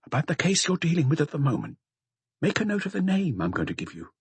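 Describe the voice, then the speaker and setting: A man's voice: on the high side, wildly swooping pitch, fast, breathy edge, no room sound, pitched above the performer's own voice, full character voice. A man playing a secretive, terse anonymous tipster in a crime audiobook, his voice muffled and disguised. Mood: secretive, insistent.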